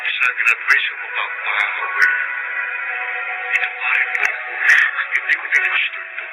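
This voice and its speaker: Man acting worried filipino dad, mid pitch, soft and caring, radio drama, really loud music under. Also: fairly even intonation, normal speed, close to an everyday voice, low-quality recording. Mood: worried, tender. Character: gentle, worried father phoning his child from afar.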